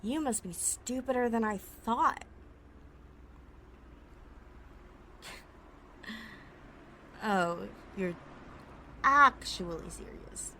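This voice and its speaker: A woman's voice: fairly high pitch, expressive, slow pace, long pauses, sharp tone, heavily acted. Woman playing a cocky, sarcastic female thief, noisy recording. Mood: incredulous, mocking. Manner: sassy, sarcastic, foul-mouthed. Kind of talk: ASMR roleplay audio drama.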